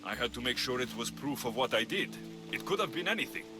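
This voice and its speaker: A man's voice: medium pitch, animated, fast talker, music in the background, lightly acted. A man acting a bold, self-assured Greek mercenary in a video game, wry and probing. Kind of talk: scripted video game dialogue.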